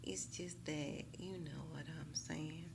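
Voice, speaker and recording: An American woman talking casually and emphatically to her viewers in a slangy, animated storytime style, often checking in with them. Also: low-pitched, slow, creaky, background noise. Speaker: YouTube tarot reader.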